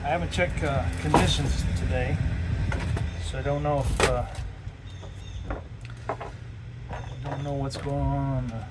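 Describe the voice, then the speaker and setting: A man's voice: mid-range voice, fairly even intonation, slow pace, long pauses. A man doing a casual hands-on amateur radio demonstration and making radio calls, recorded in the field. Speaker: amateur radio operator and video maker. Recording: noisy field recording, vehicle-like ambient noise.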